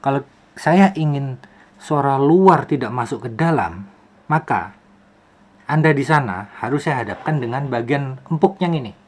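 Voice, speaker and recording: A man's voice: medium pitch, unhurried. Man explaining in a casual tutorial. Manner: animated explaining with rhetorical questions and repeated phrases. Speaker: YouTube host, film soundman.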